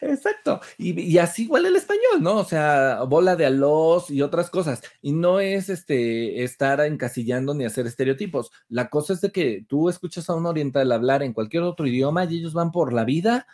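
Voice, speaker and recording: A man's voice: on the high side, moderate pace, hardly any pauses. Laughing young man with a Mexican accent. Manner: animated, laughing, mocking asides. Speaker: co-host. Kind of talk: casual gossip chat. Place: home room, indoors. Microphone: video call.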